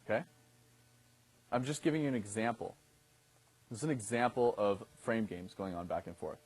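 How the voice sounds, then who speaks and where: A man in his thirties leads a class, his voice a touch low and slightly husky. He talks casually and keeps pausing to check that his listeners are following. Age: 30s. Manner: conversational, often checks in with "okay?". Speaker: dating coach instructor. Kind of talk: instructional talk to a class.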